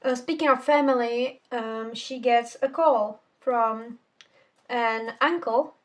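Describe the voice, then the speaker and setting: A woman's voice: fairly high pitch, takes it slowly. A teenage girl whose first language is Czech, casually reviewing a book in a rambling, self-correcting way with casual swearing. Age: teens. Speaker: book vlogger.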